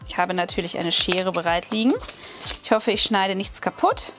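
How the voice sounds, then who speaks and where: A woman with a German accent hosting a craft channel, chatting excitedly with many fillers while she unboxes a haul at a table. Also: fairly low pitch, moderate pace, music in the background. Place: at a wooden table, hands on camera.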